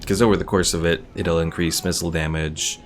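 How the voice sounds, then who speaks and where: A man's voice: low-pitched, fairly even intonation, brisk. A man giving casual game commentary as a streamer, thinking aloud and reading game text out. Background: game music.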